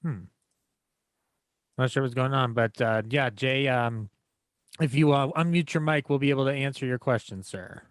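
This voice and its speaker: A man's voice: mid-range voice, normal speed, long pauses, no room sound, clean studio sound. A man casually moderating audience questions on a group video call.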